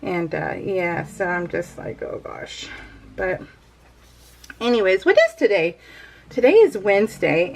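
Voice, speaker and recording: A woman's voice: mid-range voice, slight background noise, low-quality recording. A middle-aged American woman rambling casually as a craft video host, giggly and self-deprecating.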